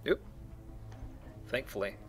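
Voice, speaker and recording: A man's voice: takes it slowly, faint music behind. A man hosting a casual let's play, chatting as he plays a video game. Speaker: video game player and host. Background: video game sounds.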